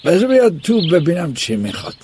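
A man voicing a gruff older rural landlord who speaks slowly and gives orders. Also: a little high, wildly swooping pitch, darkish tone, slight background noise, pitched above the performer's own voice. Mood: commanding. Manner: slow and commanding.

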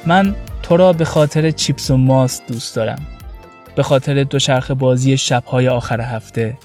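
A man's voice: mid-range voice, darkish tone. A man reading scripted, intimate prose expressively, with a tender and wistful delivery. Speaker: podcast narrator. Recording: roomy echo, faint music behind.

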